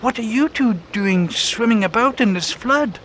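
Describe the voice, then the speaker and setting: A man voicing a small, excitable female robin in a read-aloud storybook, stammering and flustered. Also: very high-pitched, moderate pace, a little hiss or hum, pitched above the performer's own voice, poor audio. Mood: excited. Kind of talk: storybook character voice.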